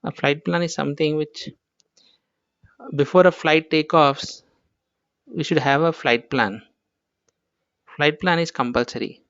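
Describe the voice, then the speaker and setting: A man with an Indian accent teaches in a fairly high, smooth voice. He explains informally, one step at a time, circling back over his points. The recording is dry and a little dull. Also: a little slow, pauses often, fairly bright tone, no echo. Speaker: instructor.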